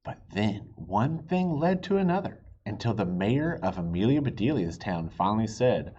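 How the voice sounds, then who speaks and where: A man reading a children's storybook aloud expressively, pausing to chat with young listeners and ask them questions. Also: fairly low pitch, very animated, darkish tone, muffled recording, a little hiss or hum. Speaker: children's read-aloud host.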